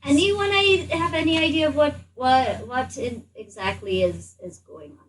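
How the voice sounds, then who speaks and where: Flustered, high-voiced woman playing a tabletop game. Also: moderate pace. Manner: flustered, stammering, repeating herself. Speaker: tabletop roleplaying player. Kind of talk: improvised in-character game chat. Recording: distant mic, faint room noise.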